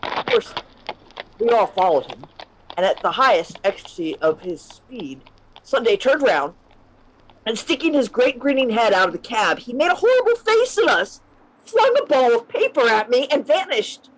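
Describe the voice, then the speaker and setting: A woman's voice: a little high, big pitch swings, normal speed, rough recording. A woman playing an earnest male poet-policeman who narrates his strange adventure in an amateur radio play reading. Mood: suspenseful narration. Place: remote reading over a video call.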